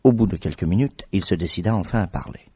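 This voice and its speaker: A man narrating a radio drama in a measured, steady way as the detective's companion. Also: deep voice, husky. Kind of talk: scripted narration. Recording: dull vintage radio recording.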